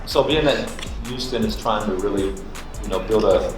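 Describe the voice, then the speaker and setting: A man's voice: lowish voice, moderate pace. A man hosting a casual panel discussion and putting questions to a guest. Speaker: panel host asking questions. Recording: distant, roomy sound with music behind.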